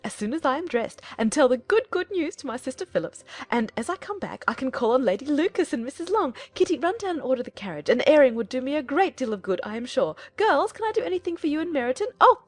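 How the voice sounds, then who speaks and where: A woman voicing an excitable, flighty middle-aged mother in an audiobook, gushing and exclaiming in a fluttery way. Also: high-pitched, no room sound, voice pushed up. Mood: elated, bustling. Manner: effusive, fluttery, breathlessly exclaiming. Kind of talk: dramatized audiobook character voice.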